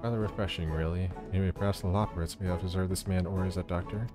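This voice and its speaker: A man voicing a calm, courteous, gently weary watcher of the moon with formal, measured speech in a video game. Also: low-pitched, over music, natural voice. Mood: serene.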